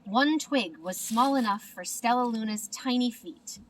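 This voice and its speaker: A woman narrating a children's storybook in an expressive, deliberate manner. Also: a little high, recorded from a distance, a little hiss or hum, rough recording. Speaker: storybook reader.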